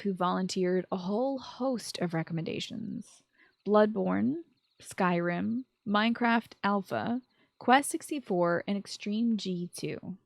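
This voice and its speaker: A woman's voice: mid-range voice, no echo. A woman with a mid-range voice hosts a show about video games. She is informal and enthusiastic, moving between prepared commentary and chatty asides. The sound is close and very dry, with hardly any room in it. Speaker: podcast host. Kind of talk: chatty video game podcast commentary, partly scripted.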